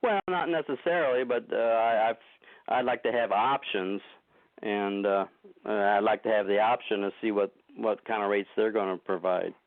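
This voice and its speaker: A man's voice: fairly low pitch, steady tone, normal speed, no echo. A man calling in with questions, speaking casually, politely and a little hesitantly. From United States, living in Ohio. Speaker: first-time caller. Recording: narrow dry phone line.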